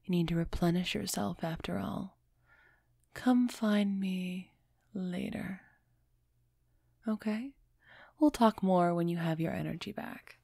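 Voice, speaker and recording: A woman playing an aloof, commanding yet teasing female vampire in an intimate ASMR roleplay audio drama, speaking in a measured, softly commanding way. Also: fairly low pitch, creaky, no room sound, studio quality, heavily acted. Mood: intrigued, possessive.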